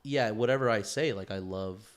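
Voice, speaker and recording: A man's voice: lowish voice, normal speed. A man co-hosting a podcast in casual conversation, talking in a rambling, slangy way full of "bro" and "man". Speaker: podcast co-host.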